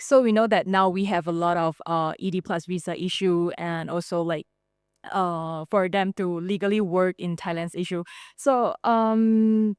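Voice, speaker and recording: Clear, lowish young woman asking a question. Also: a little mellow, dry recording, studio quality. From Malaysia. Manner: hesitant, frequent "like", polite Thai particles. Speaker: audience member asking a question. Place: seminar room.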